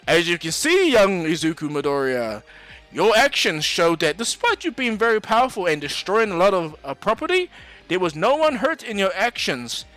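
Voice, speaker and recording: A man's voice: sits high, sing-song, moderate pace, a bit bright, faint music behind, voice pushed up. A man voicing a grand, encouraging male superhero teacher from an anime story, proclaiming formally and emphatically. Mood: proud, welcoming. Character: All Might, booming heroic male teacher, grandly encouraging.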